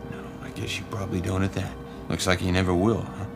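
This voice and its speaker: An actor playing a cocky, sardonic, impatient smuggler pilot in a radio drama, brash and casual, with music behind him. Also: male voice, low voice, level tone, fast talker, vocal fry. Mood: teasing, goading.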